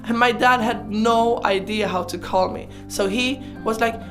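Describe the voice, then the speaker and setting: Chatty young woman over clear music. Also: on the high side, steady tone, unhurried. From Sweden. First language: German. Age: 20s. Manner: chatty, informal, playful asides. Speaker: video creator narrating her life. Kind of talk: casual personal storytelling voice-over.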